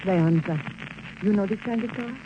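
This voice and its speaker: A woman's voice: mid-range voice, moderate pace, lightly acted. Seductive Mexican-accented femme fatale, played by a woman. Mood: seductive, wheedling. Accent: Mexican Spanish. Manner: coy, theatrical, formal phrasing. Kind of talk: 1940s radio drama acting. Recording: dull, noisy old-time radio recording.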